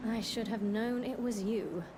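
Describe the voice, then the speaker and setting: A woman playing a stern, imperious noble commander in a video game, speaking formally and with authority over loud background noise. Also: a little high, steady tone, measured pace, natural voice. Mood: stern reprimand. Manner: formal, authoritative, clipped reprimands. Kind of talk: dramatic video game acting.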